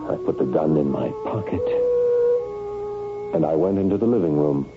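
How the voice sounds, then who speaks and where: An actor playing an anxious, desperate husband in a radio drama, with a dramatic, sometimes stammering delivery. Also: male voice, extremely low pitch, lively intonation, moderate pace, over loud music. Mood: grim, hushed. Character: desperate, anxious husband recounting his own murder mystery. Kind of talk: 1970s radio drama acting with first-person narration. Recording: phone-like, band-limited old radio recording.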